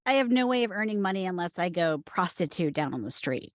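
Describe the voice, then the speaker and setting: A woman's voice: fairly low pitch, very animated, fairly quick, dry recording, clean studio sound. A woman playing a brazen, oblivious tourist roommate in a screenplay table read, blunt and matter-of-fact about absurd things. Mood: blithely frank.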